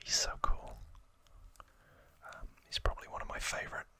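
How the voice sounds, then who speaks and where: A man whispering in a soft, breathy, deliberate way as he hosts ASMR trivia, moving between reading and casual asides. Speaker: host of an ASMR channel.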